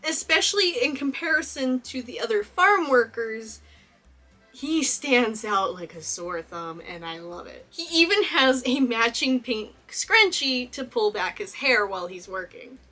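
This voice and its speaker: A woman's voice: on the high side, lively intonation, unhurried, bright, forceful tone, faint music behind. A young woman from Texas, a cosplayer, chatting enthusiastically through a casual movie costume analysis and laughing often. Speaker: cosplayer and video host.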